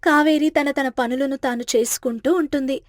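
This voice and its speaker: A woman's voice: high voice, steady tone, normal speed, no room sound, clean studio sound. A woman reading scripted story narration as a storyteller.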